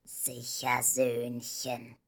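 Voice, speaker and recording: A woman voicing a sinister, cynical male monster in an audiobook, in a hoarse whispery rasp with condescending menace. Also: extremely low pitch, monotone, very slow, pitched below the performer's own voice, full character voice. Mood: sinister, knowing. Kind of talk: audiobook character voice.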